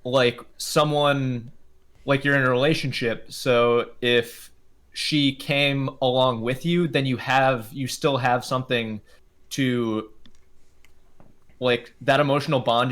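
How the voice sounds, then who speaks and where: A man's voice: medium pitch, fairly bright tone. A man chatting casually on a podcast in an easygoing, supportive way.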